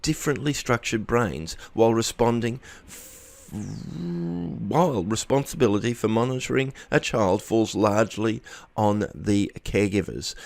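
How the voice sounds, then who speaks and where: A man narrates a written article in a low voice with very animated intonation. He reads from a script and now and then trips on a word before rereading it. The sound is dry, with hardly any room around it. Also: creaky, dry recording. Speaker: article reader.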